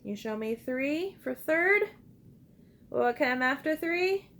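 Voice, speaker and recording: A woman reading a picture book aloud to young children like a teacher, expressive and encouraging, pausing to ask simple questions and invite repeats. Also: high-pitched. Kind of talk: interactive children's read-aloud with call-and-response prompts.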